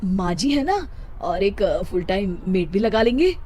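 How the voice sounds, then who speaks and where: A woman's voice: mid-range voice, big pitch swings, unhurried, a little mellow, a little hiss or hum. A woman voicing a worried, hesitant young husband in an acted moral story.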